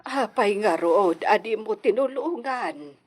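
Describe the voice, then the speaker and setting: Woman acting fretful mother, husky voice, slow pace, Ilocano accent, lamenting, radio drama. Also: mid-range voice, expressive. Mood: worried lamenting. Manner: lamenting, exclamatory. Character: fretful mother of feuding grown children, hacienda household.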